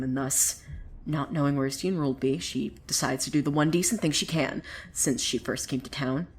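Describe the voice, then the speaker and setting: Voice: mid-range voice, lightly acted. A woman playing a wry, theatrical narrator of a surreal small town in a scripted audio drama, telling the tale dramatically. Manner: theatrical, swinging from hushed to excited. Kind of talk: dramatic scripted storytelling monologue.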